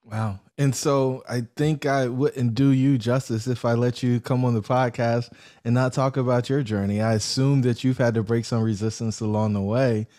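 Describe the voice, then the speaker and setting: Brief: male host with a warm, dark tone, easy questioning. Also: mid-range voice, fairly even intonation, moderate pace. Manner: encouraging, conversational questioning. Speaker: podcast host. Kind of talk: interview questions.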